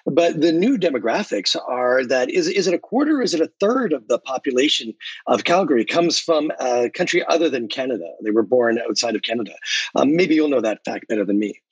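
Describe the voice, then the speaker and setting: Male co-host, slightly raspy, dry close recording, friendly and rambling interviewer. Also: medium pitch, lively intonation, fairly quick, no room sound, dull audio. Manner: chatty, appreciative, rambling. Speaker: podcast co-host. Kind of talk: conversational interview.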